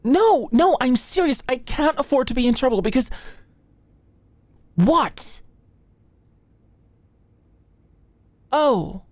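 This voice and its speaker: A woman's voice: sits high, animated, measured pace, darkish tone, no room sound. A young woman acting an anxious, flustered medical student and study buddy in an ASMR roleplay, rambling and stammering apologetically. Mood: alarmed.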